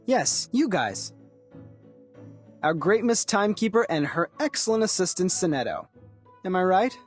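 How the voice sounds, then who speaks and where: Young male game character: theatrical, persuasive chatterbox with very lively pitch, music underneath. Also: measured pace. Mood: playful, teasing. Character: chatty, theatrical young man, eager travel-loving colleague. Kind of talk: video game voice acting.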